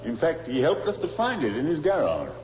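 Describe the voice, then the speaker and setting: A man's voice: on the high side, wildly swooping pitch, fairly quick, a little mellow. An actor playing a polite, matter-of-fact male police inspector in a 1950s radio crime drama. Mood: courteous, official. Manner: courteous, official, matter-of-fact. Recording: muffled old radio recording, reverberant, hissy.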